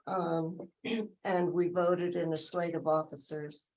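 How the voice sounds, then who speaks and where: A woman with a low, somewhat deep voice gives a casual report at a board meeting. She hesitates, fills pauses and corrects herself as she goes. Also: level tone, darkish tone, low-quality recording. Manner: hesitant, fillers and self-corrections. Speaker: library friends group treasurer.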